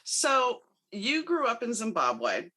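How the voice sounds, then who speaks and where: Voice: mid-range voice, huge pitch swings, slow pace, bright, forceful tone. A podcast host introducing a guest and asking questions in a friendly, conversational way. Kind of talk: casual interview.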